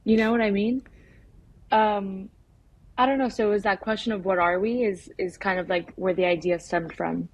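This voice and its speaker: A young woman talks casually as a filmmaker being interviewed. Her delivery is informal and halting, with frequent filler words. Her pitch is average for a woman. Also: steady tone, no room sound, low-quality recording. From United States. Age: young adult. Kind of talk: casual conversational interview answers.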